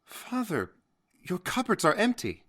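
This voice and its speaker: An earnest, slow-spoken young sailor. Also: male voice, sits high, wildly swooping pitch, a bit bright, slight background noise, exaggerated voice. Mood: troubled. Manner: earnest, measured. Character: earnest, devoted young sailor son, dutiful and honest. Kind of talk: dramatic audio drama acting.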